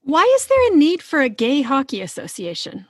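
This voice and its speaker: A woman's voice: high-pitched, huge pitch swings, normal speed, dry recording, clean studio sound. A woman hosting a podcast in casual interview style, thinking aloud informally. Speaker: podcast host.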